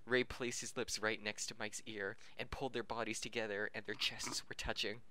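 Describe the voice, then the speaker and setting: A man's voice: fairly low pitch, flat delivery, moderate pace, sharp tone. A man reading fan fiction aloud on a podcast, stumbling over words and correcting himself, often amused and breaking into laughter. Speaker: podcast reader.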